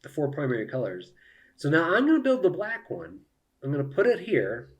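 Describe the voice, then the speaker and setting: A man's voice: fairly high pitch, huge pitch swings, fairly quick. A man giving casual live commentary on a solo board game, thinking aloud and explaining each move to viewers.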